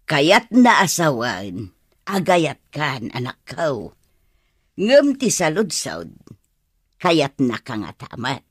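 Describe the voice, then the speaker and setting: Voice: medium pitch, wildly swooping pitch, slow, pauses often, fairly bright tone, breathy edge, dry recording, studio quality, full character voice. An actor playing a fretful, scolding older mother with an exasperated, chiding manner in a radio drama.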